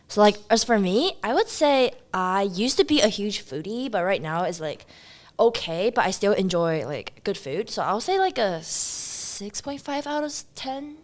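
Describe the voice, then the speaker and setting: A woman's voice: mid-range voice, a little slow. A woman in her twenties from Taiwan, hosting a casual student podcast and leading it in an easygoing way with plenty of "like". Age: 20s. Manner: relaxed, uses "like" a lot. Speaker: podcast host. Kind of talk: casual chat.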